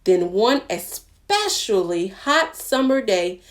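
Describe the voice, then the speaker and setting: A woman's voice: medium pitch, big pitch swings, slow pace. A woman hosting a preschool storytime, speaking expressively and directly to young listeners as she reads aloud. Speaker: children's librarian and storytime host.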